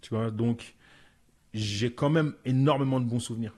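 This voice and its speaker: A man from France, a comedian guest, telling stories in a casual podcast chat with lots of quick restarts. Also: mid-range voice. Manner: animated storytelling, frequent stammered restarts.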